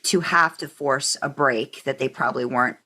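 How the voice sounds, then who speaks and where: A woman's voice: rumbling low, moderate pace, sharp tone. A woman hosting a casual conversational interview, informal and thinking aloud as she goes. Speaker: podcast host, interviewer.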